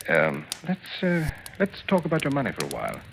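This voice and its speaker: Probing, animated police sergeant. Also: male voice, medium pitch, vocal fry. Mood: suspicious, accusing. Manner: deliberate, halting, insinuating. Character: probing male police detective sergeant, polite but suspicious. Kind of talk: old-time radio drama acting. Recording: vintage radio recording, faintly noisy.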